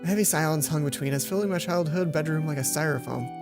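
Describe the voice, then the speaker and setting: A woman with a slightly low voice reads a visual novel aloud as a let's play host. She now and then trips over a line and takes it again. Music plays clearly behind her. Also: quick pace. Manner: stumbles over lines and rereads them.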